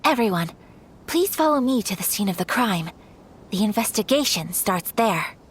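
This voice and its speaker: A woman's voice: mid-range voice, animated, normal speed, breathy edge. A woman voicing a composed, formal young noblewoman who speaks politely and with measure in a game. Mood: commanding. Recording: game audio with faint music behind.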